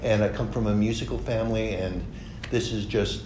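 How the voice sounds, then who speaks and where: A man from Los Angeles speaks with an earnest, halting manner and a slightly low voice. He is recorded in an echoey space with noticeable background noise. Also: steady tone. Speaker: conducting student. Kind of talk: casual interview answers.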